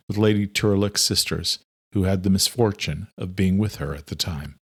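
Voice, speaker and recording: A man reading scripted dramatic narration as an audiobook narrator. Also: sits low.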